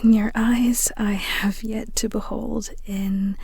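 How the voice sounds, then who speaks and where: A young woman acting in an audio roleplay as an awkward, fond best friend with a hidden crush, speaking haltingly and bashfully with giggly hesitations. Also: medium pitch, little pitch movement, gravelly, a little mellow, husky, no room sound, clean studio sound, heavily acted. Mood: earnest, shy.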